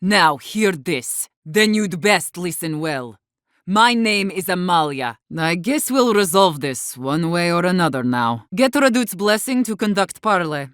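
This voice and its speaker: Blunt, low-voiced outcast warden leader. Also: female voice, lively intonation, a little slow, fairly bright tone, no echo, clean studio sound. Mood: indignant, firm. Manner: blunt, commanding, swearing. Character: defiant, blunt, battle-hardened woman leading exiled outcast wardens. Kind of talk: video game character dialogue.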